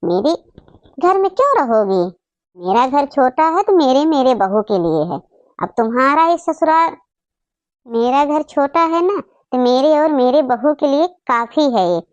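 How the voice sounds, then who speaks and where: A woman acts out an angry cartoon mother at the end of her patience. Her voice is high and dry, scolding with emphatic repetitions. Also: big pitch swings, measured pace, no echo, lo-fi sound. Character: angry, fed-up mother throwing out her boastful daughter.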